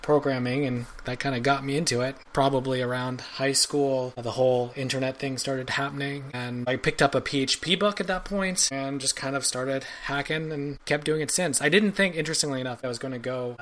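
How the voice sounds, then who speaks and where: A man's voice: mid-range voice, steady tone, brisk, hardly any pauses, a bit bright. A man in his forties from Belgium, a podcast guest telling his story in a thoughtful, rambling way. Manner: thoughtful, rambling, many fillers and restarts. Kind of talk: casual interview chat.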